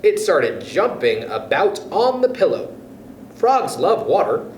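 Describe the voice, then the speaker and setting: A man reading a children's story aloud as a storyteller, in an animated, expressive way. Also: high-pitched, slow, far from the mic. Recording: roomy echo with faint background noise.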